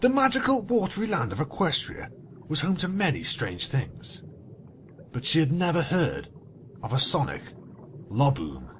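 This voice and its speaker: A man's voice: fairly high pitch, wildly swooping pitch, moderate pace, fairly bright tone, a little hiss or hum, rough recording. A man reading fan fiction as a narrator, theatrical, with sarcastic, wry asides. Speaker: narrator and commentator.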